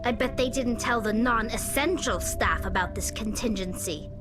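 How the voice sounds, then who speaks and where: A sceptical young squadmate from a sci-fi game, acted by a woman. She sounds fairly high and talks informally and pointedly, with music playing behind her. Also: moderate pace, lightly acted. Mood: sardonic. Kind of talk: video game dialogue acting.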